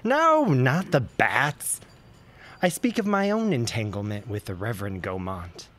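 Guy acting gossipy old-timey gentleman neighbor, droll and theatrical, really lively pitch, audio drama. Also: measured pace. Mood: confiding.

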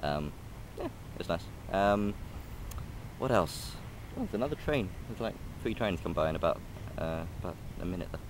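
Very deep-voiced young man rambling outdoors. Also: expressive, normal speed, noisy background. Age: young adult. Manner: hesitant, many ums and restarts. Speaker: vlogger. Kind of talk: casual rambling vlog. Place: outdoors in a park by a railway line. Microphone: phone or camera held close.

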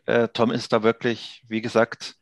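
A man's voice: medium pitch, monotone, moderate pace, no echo. A man in his thirties chatting casually as a guest in an interview, speaking into a headset on a video call. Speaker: audio drama producer, interview guest. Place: indoor office room on a video call.

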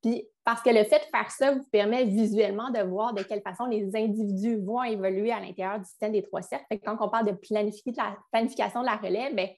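A woman's voice: medium pitch, fairly even intonation, quick pace, few pauses, a little mellow. A young woman with a Quebec French accent giving a business webinar on a video call, in a chatty style with frequent restarts. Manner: conversational, with frequent restarts and fillers. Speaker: business succession advisor. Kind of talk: webinar presentation.

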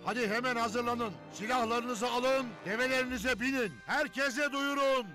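A man voicing a fierce, belligerent war leader in an animated cartoon, with forceful delivery. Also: extremely high pitch, normal speed, over music. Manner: forceful battle commands. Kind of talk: animated cartoon dub acting.

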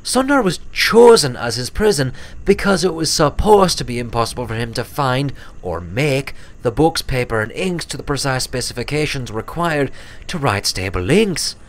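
A man's voice: mid-range voice, animated, normal speed, natural voice. A man voicing a grave, earnest young filly pony who explains things precisely and wordily in a storybook reading. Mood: exasperated, explaining. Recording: clear background noise.

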